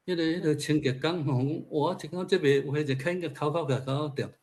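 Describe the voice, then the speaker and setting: A man's voice: a little high, fairly quick, a little mellow. A man with a Taiwanese accent giving an informal talk at a forum, sharing observations conversationally and posing rhetorical questions. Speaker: speaker at a literature forum.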